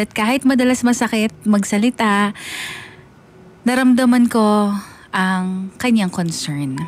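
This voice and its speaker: A woman's voice: medium pitch, a little mellow. A woman with a Filipino accent, a radio DJ narrating a listener's letter in an emotive first-person style. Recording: faint music under narration. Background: faint background music.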